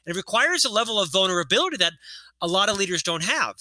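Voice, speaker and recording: A man's voice: sits high, very animated, fast talker, bright, forceful tone, no room sound. A man in his fifties who has a stutter, telling his story earnestly as a guest in an interview. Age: 50s. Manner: earnest storytelling, lectures with statistics. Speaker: author and podcast guest. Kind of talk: casual interview talk.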